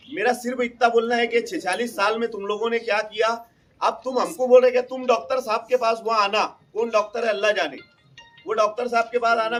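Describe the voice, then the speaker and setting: A man's voice: high-pitched, fairly bright tone, distant mic. A man making an impromptu, insistent speech at a public meeting, often repeating his points. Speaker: community member speaking at a public meeting. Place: local community meeting, at a distance from the mic.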